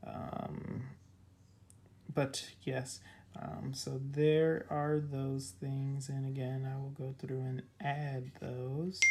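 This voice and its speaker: A young adult vlogger with a raspy, cracking voice, casually walking through an app in a rambling way, full of ums and likes. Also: mid-range voice, darkish tone, a little hiss or hum. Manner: rambling, filler-heavy, with many ums and likes. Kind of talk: casual screen walkthrough.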